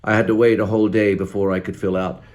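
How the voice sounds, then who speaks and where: Casting: man to play a haunted ex-minister turned monster hunter, grieving father, lowish voice, solemn screenplay monologue. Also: level tone, fast talker, a little mellow, lightly acted. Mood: bleak, weary. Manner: wordy, solemn, repetitive monologue delivery.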